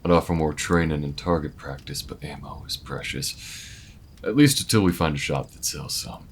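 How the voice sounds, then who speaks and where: Very deep male voice, acted by a man, laid-back alien gunslinger, folksy, reflective, audio roleplay, faint rumbling noise. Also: normal speed. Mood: encouraging. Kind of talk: slow-burn audio roleplay, intimate monologue to listener.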